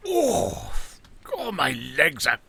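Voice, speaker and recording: An actor playing an affable, gently complaining old country gentleman in an audio drama. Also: high-pitched, wildly swooping pitch, slow pace, creaky, breathy edge, full character voice. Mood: grumbling. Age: older. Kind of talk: theatrical audio drama acting.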